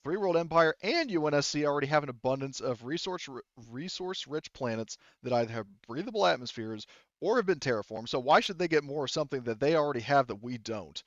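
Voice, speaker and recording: A man's voice: on the high side, animated, fast talker, dry recording, lo-fi sound, lightly acted. A man voicing an aggrieved officer in a tabletop game who rants earnestly and indignantly in defense of his starving people. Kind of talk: in-character tabletop roleplay speech.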